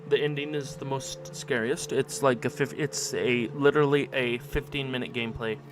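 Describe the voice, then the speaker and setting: A man's voice: mid-range voice, fairly even intonation, normal speed. A man live-streaming a game with casual, rambling commentary, reacting playfully and repeating phrases. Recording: clear background noise on home stream.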